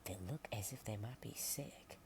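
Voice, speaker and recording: A woman voicing a kindly, polite village farmer woman in a soft whisper for a storybook reading. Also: extremely low pitch, moderate pace. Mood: concerned. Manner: whispered, hushed delivery.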